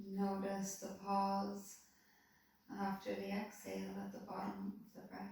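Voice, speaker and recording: A woman calmly leading a guided body-scan meditation, giving soothing, unhurried instructions. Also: medium pitch, creaky, slight background noise. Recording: very echoey room, voice distant from mic.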